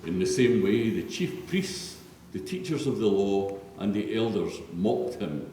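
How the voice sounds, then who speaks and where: Low-voiced male scripture narrator, very echoey church. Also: expressive, measured pace, warm tone. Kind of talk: solemn church scripture reading. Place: large echoey church interior. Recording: distant, very echoey church, faint noise.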